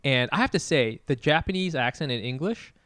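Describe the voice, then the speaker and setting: A man's voice: on the high side, lively intonation, fairly quick, fairly bright tone, clean tone, no room sound. A man co-hosting a podcast in casual comedic chat, informal, emphatic and free with swearing. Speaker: podcast co-host.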